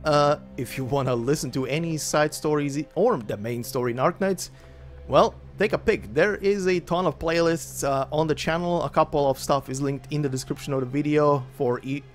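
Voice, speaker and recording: Chatty Croatian man over faint music. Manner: chatty and rambling, with lively pitch jumps. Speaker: story narrator, channel host. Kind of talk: casual spoken intro to a game story reading. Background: faint looping game menu music.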